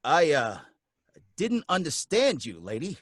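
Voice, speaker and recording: A man's voice: on the high side, wildly swooping pitch, a little slow, fairly bright tone, dry recording, clean studio sound, heavily acted. An actor playing a nervous working-class cab driver who narrates his own story, rambling in folksy street talk. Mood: shaken. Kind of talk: radio drama acting.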